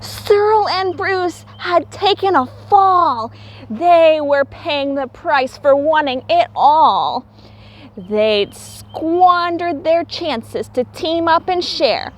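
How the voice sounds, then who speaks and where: Very slow, high-pitched woman reading to children. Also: animated. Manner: theatrical, emphatic, explains things simply for young children. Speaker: teacher reading a picture book aloud to children. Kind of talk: expressive children's storybook read-aloud.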